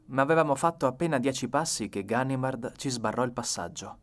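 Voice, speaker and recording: A man's voice: medium pitch, lively intonation, fairly quick. Male audiobook narrator, dramatic reading.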